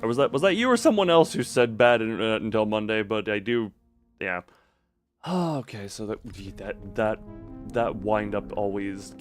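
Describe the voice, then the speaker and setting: A man live-streaming a video game with casual commentary and chat with viewers, rambling in half-finished thoughts with playful sound words. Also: on the low side.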